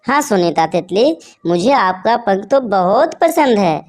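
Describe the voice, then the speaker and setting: A woman's voice: medium pitch, sing-song, measured pace, darkish tone. A woman playing an eager, boastful young male bird in a children's cartoon. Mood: admiring, wishful. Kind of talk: animated children's story voice acting.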